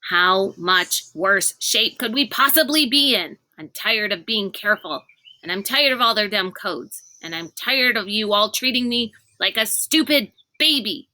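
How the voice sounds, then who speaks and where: A woman's voice: medium pitch, expressive, a little slow, pushed and bright, poor audio. A woman voicing a cranky, stubborn little girl who grumbles and snarls in a storybook read-aloud. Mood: snarling.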